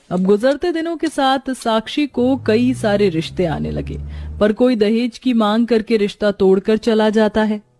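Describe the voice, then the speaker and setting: A woman's voice: medium pitch, dark tone. A woman narrating a scripted moral story as its storyteller.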